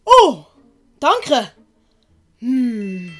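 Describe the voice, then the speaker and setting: A woman voicing an excitable, naive, chatty young servant in an audiobook. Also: fairly high pitch, extremely slow, a little hiss or hum, heavily acted. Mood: surprised, chatty. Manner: exclaiming, naive. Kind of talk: audiobook character acting.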